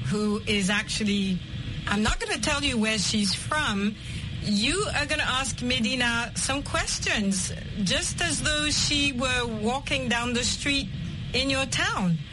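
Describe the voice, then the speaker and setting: A woman's voice: on the high side, background noise. A middle-aged woman hosting and teaching, guiding a simple conversation for language learners. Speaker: host and English teacher.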